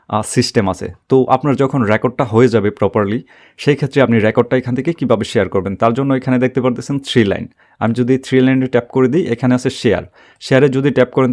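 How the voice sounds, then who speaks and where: A man giving an unscripted, step-by-step app tutorial in a halting, repetitive way. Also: medium pitch, a little mellow. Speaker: tech tutorial host.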